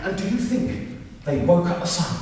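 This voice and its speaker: A man telling a story live to an audience of children and adults, animated and dramatic, putting questions to his listeners. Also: sits high, moderate pace. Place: reverberant room with a live audience. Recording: echoey hall, distant mic, noisy.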